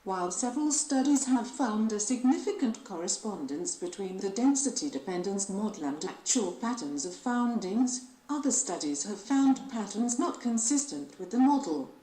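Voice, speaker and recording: Woman, mid-pitched voice, formal read-aloud, academic text, roomy echo. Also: expressive, normal speed, hardly any pauses, dark tone. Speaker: test example reader. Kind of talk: reading academic passages aloud. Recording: echoey room.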